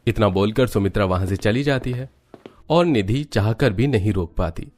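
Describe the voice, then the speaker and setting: A man serves as narrator for a cartoon family tale. His voice is on the low side, and he delivers scripted storytelling narration. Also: a little mellow.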